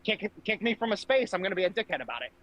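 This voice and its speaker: A man's voice: high voice, big pitch swings, rapid-fire, dull audio. A man giving casual political commentary as a podcast host, speaking in a brash, blunt, profanity-laced way.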